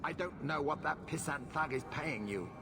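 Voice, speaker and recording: A man's voice: on the high side, expressive, normal speed, gravelly, over music. An actor playing a haughty, nervous nobleman in a video game, imperious and irritable. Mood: irritated, bargaining. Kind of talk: video game cutscene acting.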